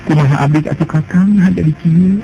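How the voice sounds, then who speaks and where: A male storyteller voicing a young wife who is tender, anxious and devoted, with a soft, pleading manner. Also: a little high, a little fast, creaky, slight background noise, voice pushed up. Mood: sorrowful pleading. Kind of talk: Sundanese storytelling. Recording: dull lo-fi sound with music behind.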